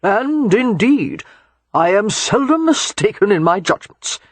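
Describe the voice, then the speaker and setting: A woman's voice: mid-range voice, big pitch swings, measured pace, no room sound, voice pushed up. A woman reading a novel aloud, voicing a querulous, demanding elderly male earl. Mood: preening. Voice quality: demanding, scowling.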